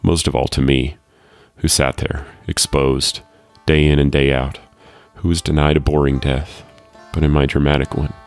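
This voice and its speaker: Very deep-voiced man reading as a world-weary guard. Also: little pitch movement, normal speed, no room sound, faint music behind. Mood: grimly wistful. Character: world-weary parent working as a security guard, wryly fatalistic. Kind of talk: reading a first-person short story.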